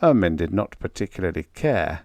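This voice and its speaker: A man reading a story aloud as an audiobook narrator, in a measured, expressive literary manner. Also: deep voice, no room sound.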